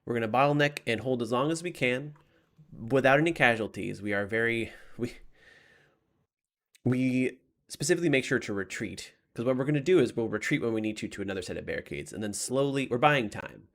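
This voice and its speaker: Man playing a gravelly, confident military commander. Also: mid-range voice, lively intonation, a little fast, fairly bright tone. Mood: confident briefing. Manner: tactical, emphatic, repetitive. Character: confident military commander briefing troops on defence. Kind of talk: in-character tabletop roleplay.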